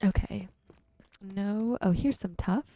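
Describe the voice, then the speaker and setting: A woman giving ASMR-style gameplay commentary, musing to herself in an unhurried way. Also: medium pitch, warm tone. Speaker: game streamer hosting a relaxation video.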